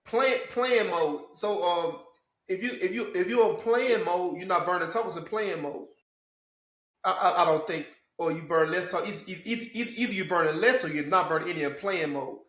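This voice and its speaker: A man's voice: sits high, moderate pace, fairly bright tone, echoey room. A man from Memphis hosting a casual tech podcast chat, stumbling and repeating words as he explains. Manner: repeats words and restarts often. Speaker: podcast host.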